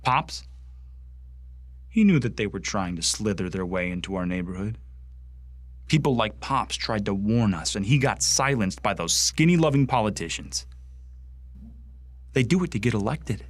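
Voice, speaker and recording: An actor playing a bitter, zealous young Martian recruit in a science fiction radio play, speaking with earnest, deliberate contempt. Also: male voice, on the low side, expressive, dry recording. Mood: resentful. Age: young adult. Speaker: actor in an audio drama.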